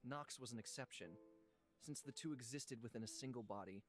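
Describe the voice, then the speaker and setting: An actor playing a gentle ancient god living in a human body, speaking in a measured, reflective way for a visual novel. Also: male voice, medium pitch, close to an everyday voice. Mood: solemn. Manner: measured, solemn, reflective storytelling. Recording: music behind the voice. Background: instrumental music.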